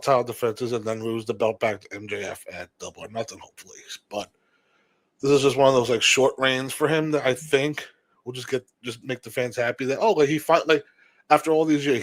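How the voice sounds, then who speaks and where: A man's voice: mid-range voice, dry recording. A man co-hosting a podcast, giving casual, opinionated commentary on wrestling. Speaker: podcast co-host.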